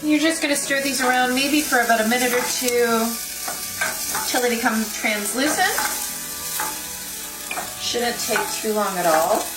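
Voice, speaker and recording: A woman's voice: on the high side, measured pace, long pauses, heavy background noise. A woman hosting a cooking demonstration, explaining the recipe step by step in an instructional way. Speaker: food designer and cooking host. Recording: roomy echo, distant mic, music behind.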